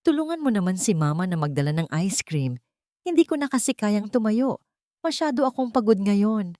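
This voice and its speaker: A woman's voice: medium pitch, expressive, moderate pace, dark tone, no echo, studio quality, pitched above the performer's own voice, natural voice. A woman voicing a sweetly manipulative young wife in an audio family drama, with a persuasive manner. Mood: sweet, evasive.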